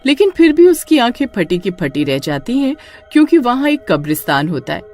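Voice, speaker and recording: Lively woman narrating a horror story. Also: on the high side, brisk, music in the background. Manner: expressive storytelling.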